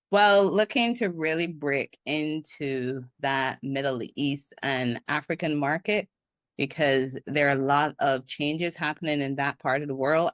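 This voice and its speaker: Guest consultant, woman from Barbados, animated and laughing, dry close-miked sound. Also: sits low, no echo. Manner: animated, laughs often, acts out her own inner thoughts. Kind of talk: casual interview talk.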